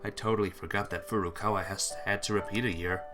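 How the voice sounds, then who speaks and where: Very low-voiced woman narrating a game. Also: steady tone, quick pace, music in the background. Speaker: visual novel player and reader. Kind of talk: reading game narration aloud.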